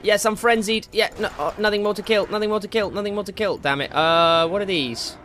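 A man giving casual, excitable commentary while playing a video game, jokey and slangy, with exclamations and swearing. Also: sits high, a little fast, slight background noise. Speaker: gaming video host. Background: game music.

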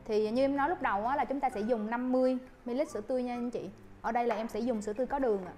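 A young woman, a barista instructor, guiding viewers through a coffee recipe politely with soft fillers, recorded on a lapel mic at a shop counter. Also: on the high side, steady tone, very fast, soft background music. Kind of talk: coffee-making tutorial.

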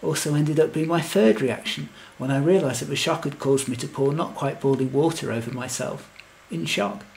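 A man's voice: on the high side, normal speed, creaky, noisy background. A man playing a lovelorn, anxious, wry fellow who tells his own comic story in earnest, wordy scripted lines. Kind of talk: scripted comic first-person narration and verse.

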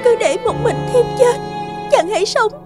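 High, pleading young wife. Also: female voice, lively intonation, normal speed, music in the background. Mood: sorrowful pleading. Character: grieving devoted young wife in a folk tale. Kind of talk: cartoon voice acting.

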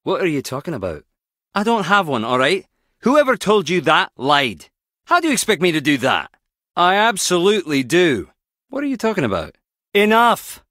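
An actor playing a wry, guarded skip tracer in a video game, teasing yet protective, speaking in a clipped, conversational way. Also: male voice, medium pitch, sing-song, normal speed, fairly bright tone, no room sound, clean studio sound. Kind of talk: video game character dialogue.

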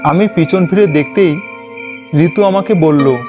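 A man's voice: a little high, unhurried, highs cut off. A man narrating a scripted ghost story in the first person. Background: background music.

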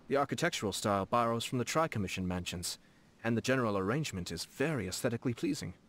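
An actor playing a courteous, composed young nobleman in a video game, speaking formally and softly with a gently teasing manner. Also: on the low side, slight background noise. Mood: pleased, courteous. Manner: formal, measured, politely playful. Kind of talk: video game character dialogue. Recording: studio voice over game music. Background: game background music.

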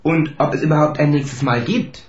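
An actor playing a cocky, sceptical young would-be detective with a know-it-all manner in a radio play. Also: male voice, a little high, steady tone, moderate pace, close to an everyday voice. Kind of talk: German radio play acting. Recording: echoey, slightly dull audio.

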